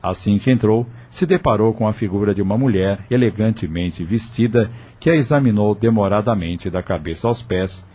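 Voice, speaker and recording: A man's voice: fairly low pitch, moderate pace, few pauses, dark tone, breathy edge. A man with a Brazilian accent narrating an audio novel in a scripted storytelling style.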